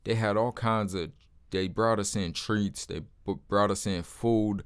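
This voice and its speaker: A deep-voiced man talks straight to his viewers in a casual, plain-spoken way. His speech is blunt and informal, with words like "man" scattered through. His voice sits low. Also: steady tone, a little slow, clean tone. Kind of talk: casual testimony to camera.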